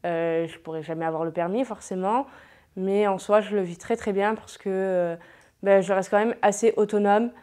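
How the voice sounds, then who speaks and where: A woman's voice: medium pitch, steady tone, moderate pace, darkish tone. A young woman in her twenties from France, a para-judo athlete, giving cheerful interview answers. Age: 20s.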